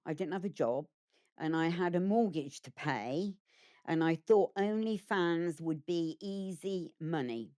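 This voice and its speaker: A woman's voice: lowish voice, a little slow, a little mellow. A woman giving a candid documentary interview about her experiences. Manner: plain candid recounting.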